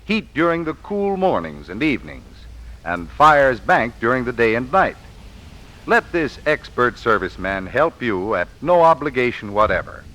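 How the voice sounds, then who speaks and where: A man's voice: mid-range voice, big pitch swings, moderate pace, bright, forceful tone, rough recording. A man reading a scripted 1940s radio advertisement as an expert spokesman, folksy and persuasive, on an old broadcast recording.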